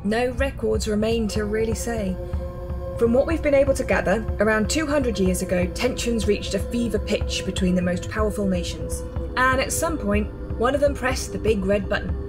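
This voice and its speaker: Actress as blunt rebel medic lady, calm and factual, radio play with music behind. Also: mid-range voice, moderate pace, natural voice. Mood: grave, explanatory. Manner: matter-of-fact, blunt, explanatory. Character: blunt, composed female resistance medic. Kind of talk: radio drama acting.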